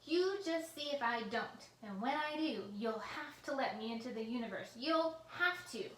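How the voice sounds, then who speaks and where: A woman voicing a furious, scheming male villain scientist who yells and cackles at a computer. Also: fairly high pitch, expressive, moderate pace, a little hiss or hum. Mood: raging. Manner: yelling, cackling, turns syrupy. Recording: roomy echo with faint ambience.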